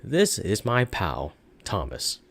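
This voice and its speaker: A man's voice: lowish voice, slow, gravelly, slightly rough, no room sound, exaggerated voice. A man doing a snarky narrator. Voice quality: snarky, monotone, downward intonation. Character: snarky male storybook narrator, sardonic and crude.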